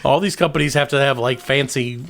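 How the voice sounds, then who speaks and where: A man's voice: mid-range voice, a little fast. A man with a Southern American accent co-hosting a comedy podcast, joking and rambling in casual banter. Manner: animated, joking, rambling with restarts. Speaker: podcast co-host.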